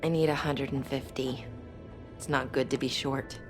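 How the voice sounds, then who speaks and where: An actress playing a sultry, guarded nightlife worker in a video game, with a coy, measured manner. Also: female voice, very deep voice, steady tone, over music. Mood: coolly businesslike. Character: sultry, guarded woman working a shady nightlife job. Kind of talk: dramatic video game acting.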